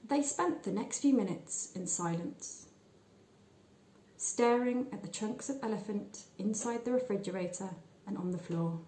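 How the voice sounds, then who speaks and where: A woman narrates a short story in a soft, mellow tone. She takes her time, leaving deliberate pauses and shaping phrases expressively. The recording has a noticeable room echo. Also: mid-range voice. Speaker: story reader.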